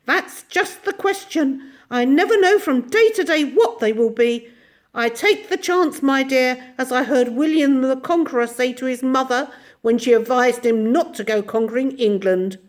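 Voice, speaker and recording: A woman voicing a tiny, ancient, bossy old shopkeeper with a shrill, squeaky voice that swings between sweetness and soft menace. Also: moderate pace, natural voice. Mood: chatty.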